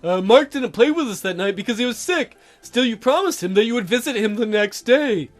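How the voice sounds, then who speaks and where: A man voicing an awkward teenage boy in a dating sim, halting and offhand, gently reproachful toward a friend. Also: very high voice, animated, soft background music. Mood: explaining. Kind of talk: dating sim dialogue read aloud.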